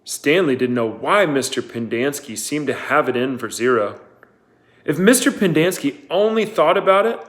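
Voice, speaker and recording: Slow steady male reader for a children's novel. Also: mid-range voice. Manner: steady and unhurried. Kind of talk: reading a novel aloud.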